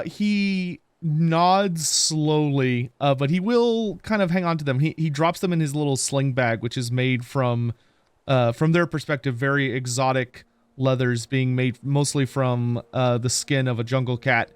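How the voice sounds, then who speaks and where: A man playing a tabletop game, describing his character's actions in slow, deliberate narration. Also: mid-range voice, smooth. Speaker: player.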